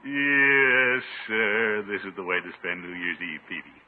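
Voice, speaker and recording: An actor playing a pompous, needy middle-aged bachelor with a blustery, theatrical delivery in a 1950s radio comedy. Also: male voice, fairly low pitch, lively intonation, a little slow, loud music behind the voice. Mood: hearty. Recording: noisy, muffled 1950s radio recording.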